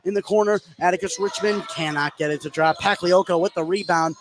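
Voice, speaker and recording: A man's voice: high voice, fairly quick. An American man calling live basketball play-by-play as a radio announcer. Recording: live gym broadcast, clearly noisy background. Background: noisy gymnasium ambience.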